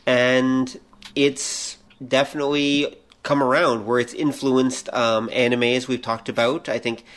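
A man's voice: medium pitch, level tone, measured pace, fairly bright tone. Explanatory man chatting on a podcast. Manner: explanatory, analytical. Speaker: podcast co-host. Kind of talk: casual pop culture discussion.

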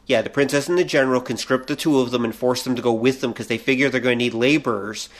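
A man's voice: mid-range voice, steady tone, fast talker. A man co-hosting a podcast, explaining films in a casual, opinionated way. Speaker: podcast co-host. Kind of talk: casual film chat.

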